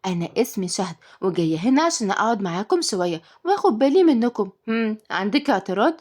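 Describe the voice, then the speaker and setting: A woman's voice: medium pitch, quick pace. A woman voicing a sweet, playful nanny who speaks politely and tenderly in an audio story. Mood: coaxing. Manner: tender, expressive.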